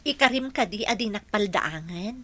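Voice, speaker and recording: An actress playing a gentle, hesitant young working woman being courted in an Ilocano radio drama, polite and earnest, with an Ilocano accent. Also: medium pitch, expressive, normal speed. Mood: coy, yielding.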